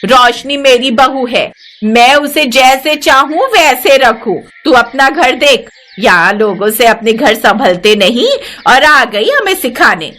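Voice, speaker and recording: A woman's voice: sits high, expressive, slow, full character voice. Scolding older mother-in-law, acted by a woman. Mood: scornful, scolding. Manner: sharp, taunting, bossy. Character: scolding, domineering older mother-in-law, quarrelsome neighbour aunty. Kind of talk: acted Hindi moral-story cartoon dialogue.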